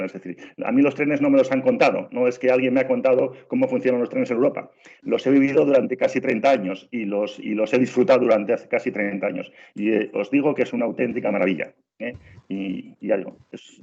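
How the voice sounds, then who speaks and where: A man's voice: medium pitch, darkish tone. A middle-aged man from Spain with a Spanish accent, giving a train travel training on a video call, insistent and full of restarts. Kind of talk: webinar presentation. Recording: dull lo-fi video call audio.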